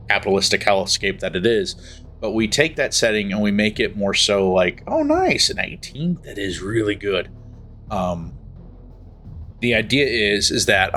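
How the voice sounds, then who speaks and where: Slow, bright-voiced man on a stream. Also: on the low side. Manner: thinking aloud, trailing off, muttering while typing. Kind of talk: casual livestream chat while writing a story. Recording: faint music in the background.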